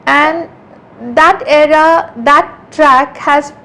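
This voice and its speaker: A woman's voice: sits high, steady tone, drawn-out words, bright, forceful tone. A woman from India, an art conservator guesting on a podcast, explaining her work earnestly and often restarting her sentences mid-thought. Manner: earnest, thinks aloud with frequent restarts. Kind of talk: casual interview conversation.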